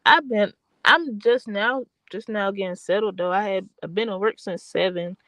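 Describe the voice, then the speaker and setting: A woman with a soft, quiet voice, sounding tired and a little stuffy. She rambles in loose, restarting sentences. Her voice is dry and close, with no room around it. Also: mid-range voice, moderate pace, no room sound. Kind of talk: casual late-night chat.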